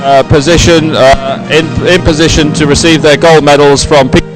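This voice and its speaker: A man presenting a live sports broadcast, ad-libbing commentary in a halting, hesitant way. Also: on the high side, fairly even intonation, music in the background. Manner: hesitant, halting ad-libbed delivery. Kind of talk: live unscripted event commentary.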